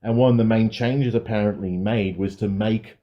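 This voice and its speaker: A man's voice: on the low side, fairly even intonation, moderate pace, dull audio. A man co-hosting a podcast, reviewing films in an informal, self-correcting way. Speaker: podcast co-host. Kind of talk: casual film review chat.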